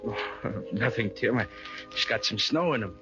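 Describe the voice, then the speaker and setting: A man's voice: lowish voice, expressive, moderate pace, lightly acted. An actor playing a tender working-class father in old-time radio style. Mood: choked up. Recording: muffled old radio recording with music. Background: music.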